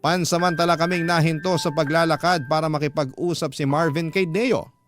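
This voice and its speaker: A man playing a jealous, insecure spouse, narrating a troubled love story in an emotive, deliberate radio drama style. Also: fairly high pitch, fairly even intonation, lightly acted. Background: music under the voice.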